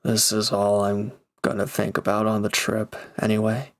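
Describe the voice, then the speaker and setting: A man acting in an intimate audio drama as a gruff but caring reformed villain, earnest, halting and awkwardly affectionate. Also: fairly low pitch, monotone, close to an everyday voice. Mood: flustered, torn.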